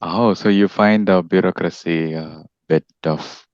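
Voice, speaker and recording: A young man from India hosts a casual podcast interview. His voice sits low, and he talks in a friendly way, often stopping and restarting. The recording is dry and a little muffled. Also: steady tone, unhurried, no echo. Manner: friendly, with frequent restarts and fillers. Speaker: podcast host.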